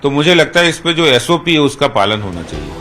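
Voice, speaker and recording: A man's voice: on the high side, very animated, a little fast, sharp tone, soft background music. A man giving an unscripted opinion in a news interview.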